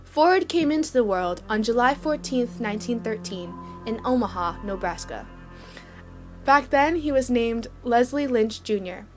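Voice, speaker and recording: A woman's voice: fairly high pitch, expressive, unhurried, darkish tone, soft background music. A woman reading a scripted storybook-style historical narration.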